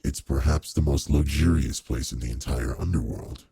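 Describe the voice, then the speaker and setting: Man playing a very deep, gravelly demon lord. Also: moderate pace, warm tone, no echo, heavily acted. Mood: reassuring. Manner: leisurely, suave, playfully teasing. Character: teasing aristocratic male demon lord, urbane and indulgent. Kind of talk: intimate roleplay audio drama.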